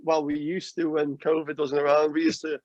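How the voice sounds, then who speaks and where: A man's voice: fairly high pitch, monotone, a little fast, darkish tone, no room sound, highs cut off. A man from Wales, chatting casually on a podcast as a guest, laughing often and swearing now and then. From North Wales. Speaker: guest singer-songwriter.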